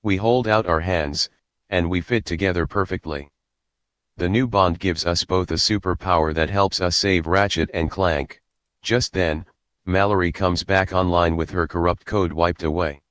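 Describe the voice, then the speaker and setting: Man, very low voice, dry close recording, reading a story script aloud as narrator. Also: fairly even intonation, moderate pace, fairly bright tone, no echo.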